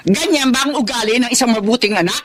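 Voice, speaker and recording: A woman's voice: on the high side, quick pace, over a noisy crowd, natural voice. An actress playing a demanding middle-aged mother who nags and guilt-trips in a Filipino melodrama. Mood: angry, accusing. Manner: nagging, defensive, accusing.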